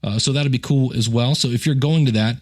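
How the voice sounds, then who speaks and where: A man with an average-pitched voice hosts a solo podcast. He talks casually, like a chatty teacher making announcements and plugs. He wanders into joking asides and pokes fun at himself. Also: brisk. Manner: chatty, joking, self-deprecating, rambling asides.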